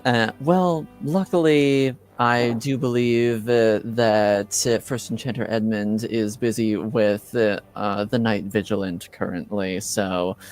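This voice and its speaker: A man playing a polite, flustered senior enchanter in a tabletop roleplay, speaking formally and hesitantly. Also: fairly low pitch.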